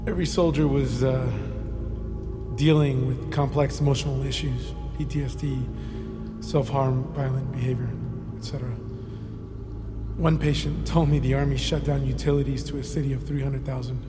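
An actor playing a troubled army therapist in an audio drama, haunted and burdened, with a halting delivery. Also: male voice, medium pitch, flat delivery, natural voice. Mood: somber, haunted. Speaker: military psychologist. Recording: long reverb, music underneath.

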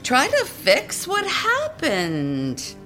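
Slow, earnest, pleading grandmother. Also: sits high, wildly swooping pitch, sharp tone, faint music behind, exaggerated voice. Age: older. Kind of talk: audio drama acting.

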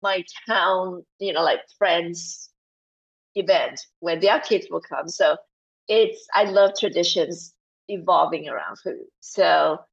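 A woman's voice: lowish voice, slow, gravelly, slightly rough, muffled recording. A woman from Malaysia, a guest in a casual interview, talking animatedly with many fillers and restarts.

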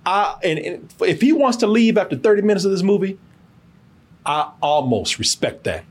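A man reviews a film casually as a podcast host, in a slightly high, husky voice. His pitch moves around a lot as he tells the story. He is emphatic, repeats himself and swears freely. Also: moderate pace. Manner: animated, emphatic, repetitive, cursing freely.

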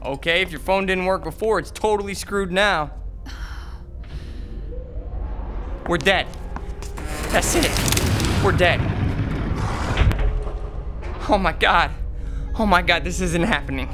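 A man's voice: sits high, unhurried, long pauses. Young man in horror audio drama, anxious, bickering, defensive, light music underneath. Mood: despairing. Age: young adult. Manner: nervy, defensive. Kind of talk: modern horror radio drama acting.

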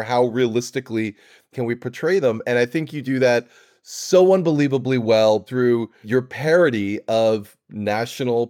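A man's voice: mid-range voice, a little mellow, no echo, clean studio sound. A man hosting and interviewing on an audio fiction podcast.

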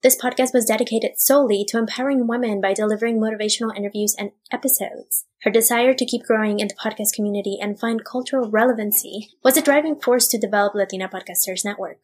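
A woman's voice: a little high, steady tone, fast, few pauses, darkish tone, slightly rough. A woman hosting a bilingual podcast, reading an upbeat scripted introduction with Spanish phrases mixed in. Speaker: podcast host.

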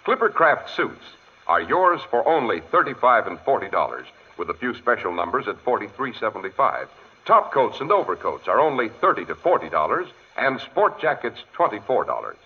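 Old-time radio announcer guy, lowish voice, really lively delivery, muffled vintage recording. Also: normal speed.

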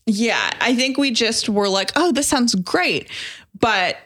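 A woman's voice: a little high, animated, slow pace, a bit bright. Casual, self-deprecating woman co-host. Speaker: podcast co-host. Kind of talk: casual conversational podcast chat.

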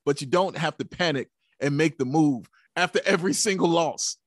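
A high-voiced man talks sports casually as a podcast co-host. He preaches his points with rhetorical questions and pet phrases, and the sound is close and dry. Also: moderate pace, dry recording. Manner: emphatic, preachy, rhetorical questions and repeated catchphrases. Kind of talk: casual sports fan commentary.

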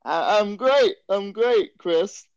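A man's voice: high-pitched, expressive, takes it slowly, clean tone, dry recording, full character voice. A man voicing a bodybuilder woman on a prank call, stumbling and repeating words, over dull lo-fi audio. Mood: friendly. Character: woman named Sandra, a bodybuilder with a deep voice, prank caller.

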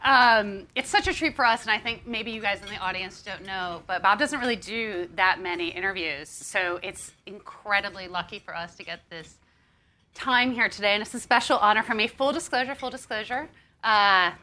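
A woman's voice: mid-range voice, moderate pace, sharp tone. A woman from the United States hosting a live onstage interview, upbeat and chatty with frequent ums. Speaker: interview host and magazine editor. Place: onstage before an audience.

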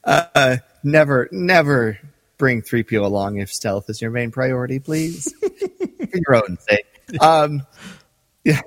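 Male podcast co-host, casual chat, lively intonation, touch of long reverb. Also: medium pitch, slow. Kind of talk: casual discussion of a radio drama.

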